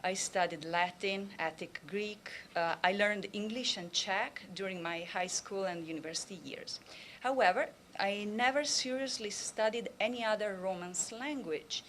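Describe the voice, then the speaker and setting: A woman's voice: medium pitch, a bit bright, slight background noise. Woman giving a conference talk. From Italy. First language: Italian. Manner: measured, frequent "uh" and "um" pauses. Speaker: Italian language instructor.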